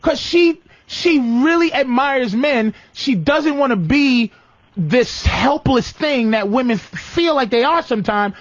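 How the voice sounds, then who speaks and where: A man's voice: very high voice, expressive, measured pace, dry recording, dull audio. An American man hosting a comedic advice show with callers, talking bluntly and profanely with a teasing edge. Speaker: talk show host.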